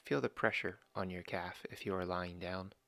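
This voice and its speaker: Male meditation guide, low gravelly voice, dry close mic, slow calm instructions. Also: dry recording. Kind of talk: guided body scan meditation.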